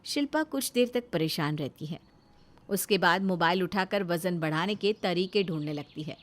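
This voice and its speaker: A woman's voice: lowish voice, moderate pace, heavy background noise. A woman narrating a scripted moral story as a storyteller.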